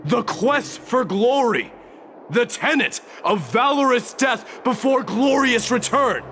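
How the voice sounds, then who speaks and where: An actor playing a grave, defiant young warrior prince in a video game, speaking in a measured, formal way. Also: male voice, very high-pitched, expressive, a little hiss or hum, heavily acted. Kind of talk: dramatic video game voice acting. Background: loud music.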